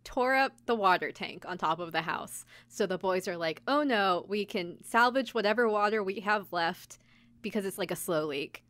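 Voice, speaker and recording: A woman hosts a podcast in a casual, chatty style. She tells stories in an animated way, slipping into mock dialogue and giggling as she goes. Her pitch is average and the sound is close and dry. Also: normal speed, no room sound. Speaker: podcast host and episode recapper. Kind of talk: casual chat, recapping an anime episode.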